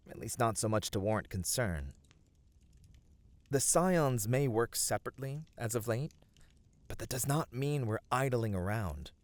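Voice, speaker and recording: A man voicing a gentle, noble fantasy adventurer in an ASMR roleplay, speaking soothingly and earnestly in formal, old-fashioned phrasing. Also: mid-range voice, animated, unhurried, no room sound, close to an everyday voice. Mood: gentle concern, then reassuring. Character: gentle, noble, reassuring male fantasy adventurer comforting a weary friend. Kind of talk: ASMR fantasy roleplay, scripted intimate voice acting.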